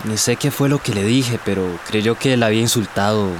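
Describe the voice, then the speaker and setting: A man's voice: on the low side, fairly quick, noisy background, natural voice. An actor playing a moody, careless young man in a radio drama, with a Costa Rican accent. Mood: dejected. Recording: radio drama with music underneath.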